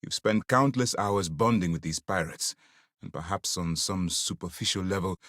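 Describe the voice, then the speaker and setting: An actor playing a stern, principled space navy commander in a video game, speaking in a formal, deliberate, authoritative way. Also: male voice, low voice, fairly bright tone, no echo, studio quality. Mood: measured, grave. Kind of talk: scripted dramatic game dialogue.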